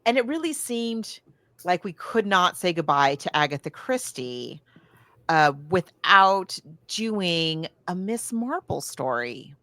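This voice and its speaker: Female podcast co-host, lowish voice, dry close sound, gushing and enthusiastic in casual chat. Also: big pitch swings, slow pace, sharp tone, no room sound. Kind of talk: casual film and book discussion.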